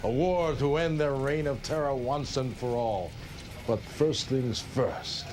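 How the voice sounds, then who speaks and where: An actor playing a polished political director, speaking emphatically and like an orator in a war game cutscene. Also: male voice, medium pitch, takes it slowly, background noise, lightly acted. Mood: resolute, rallying. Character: smooth-talking male political director rallying for war. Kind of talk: rallying address in a video game cutscene.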